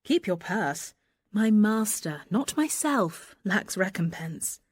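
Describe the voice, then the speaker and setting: A young woman passing as a gentleman envoy, earnest and ardent. The verse is unhurried and lyrical, with bold feeling, in classical radio drama style. The sound is close and dry. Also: medium pitch, big pitch swings, husky, no room sound, full character voice. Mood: proud, offended. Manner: earnest, lyrical, deliberate delivery.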